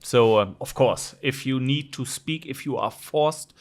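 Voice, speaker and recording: Middle-aged man, unhurried and halting, clean clear voice, casual interview guest. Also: medium pitch. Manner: halting, many ums and restarts. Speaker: guest, managing director.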